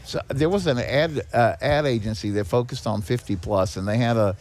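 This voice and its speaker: Man explaining things in halting, repeated starts. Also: medium pitch, darkish tone. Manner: explanatory, repeats and restarts words often. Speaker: guest, director of a seniors' charity. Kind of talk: conversational podcast interview.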